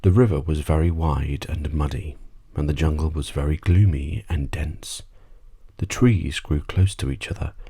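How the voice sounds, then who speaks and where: A man with a very deep, dark, breathy voice reads a bedtime story. His narration is soft and measured, in an ASMR style. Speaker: audiobook narrator.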